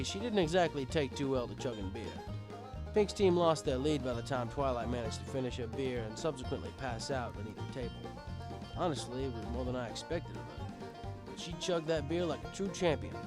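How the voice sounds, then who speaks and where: A man reading a scripted first-person story as an audiobook narrator, with dramatic emphasis. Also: medium pitch. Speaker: audiobook reader.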